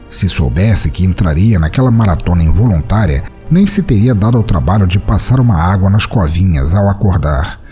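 Man, Brazilian accent, fiction narration, lively varied intonation, ironic, soft music behind. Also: deep voice. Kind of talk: dramatic scripted fiction narration.

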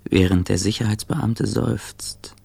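Gravelly, fatalistic narrator. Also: male voice, on the low side, steady tone, slow, dark tone, no echo, exaggerated voice. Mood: ominous, wry. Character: wry, fatalistic male narrator of a battered, anxious traveller. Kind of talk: second-person radio play narration. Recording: faint background ambience noise.

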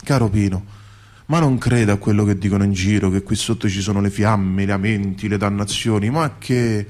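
A man's voice: on the low side, steady tone, moderate pace, darkish tone, pitched below the performer's own voice, lightly acted. Man playing courteous old Saint Peter, patiently formal. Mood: reassuring. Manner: polite, formal, patiently explaining.

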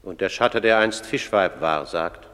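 Deep grave narrator in reverberant hall. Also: male voice, moderate pace, fairly bright tone. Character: grave male narrator of a tribunal of the dead. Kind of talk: solemn scripted verse narration, radio drama.

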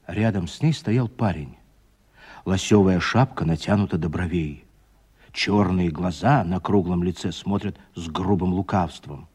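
A man narrating a literary radio play with expressive, scripted storytelling. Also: low-pitched, a little slow, slightly rough.

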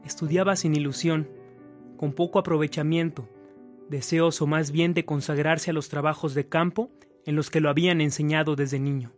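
A man's voice: a little high, steady tone, normal speed, faint music behind. A man with a Mexican accent reading scripted narration for a radio drama.

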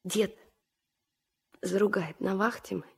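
An actress playing a lively, teasing young village girl, a student who plays accordion, in a 1970s Soviet radio drama. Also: medium pitch, takes it slowly, vocal fry, breathy edge, full character voice. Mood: shy, confiding. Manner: teasing, bold, chatty questioning. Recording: 1970s mono radio play recording.

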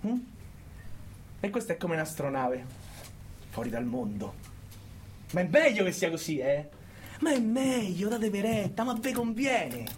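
A man's voice: high-pitched, fairly bright tone, slight background noise, exaggerated voice. A man playing a cynical, bullying prison guard in a radio monologue, with a Roman accent and a sardonic, goading manner full of rhetorical questions. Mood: bitter, sardonic. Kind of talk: theatrical radio monologue in colloquial dialect.

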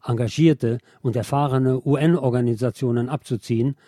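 Male presenter, medium pitch, dark timbre, very dry studio sound, articulate scripted reading. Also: fairly even intonation, normal speed, dry recording. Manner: sober, articulate, formal. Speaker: radio presenter. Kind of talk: scripted radio commentary read aloud.

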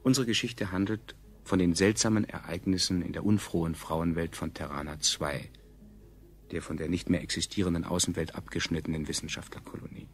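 A man acting as the coolly sardonic chronicler of a doomed science colony, narrating a radio play in a clinical, ironic deadpan. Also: low voice, a little fast, lightly acted. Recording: 1970s radio production, clear background noise.

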